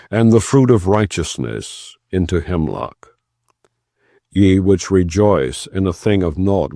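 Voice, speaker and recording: A man with a low, mellow voice reads scripture as an audiobook narrator. His delivery is slow, solemn and declamatory, with the text left undramatized. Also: dry recording, clean studio sound. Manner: measured, declamatory. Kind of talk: solemn scripture reading, non-dramatized.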